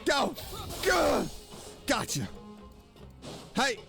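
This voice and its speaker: A man's voice: extremely high pitch, wildly swooping pitch, very slow, vocal fry, fairly bright tone, shouting. A man giving casual, playful VTuber gameplay commentary, exclaiming and repeating himself as he plays. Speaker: VTuber game streamer. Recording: game music playing behind. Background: video game music.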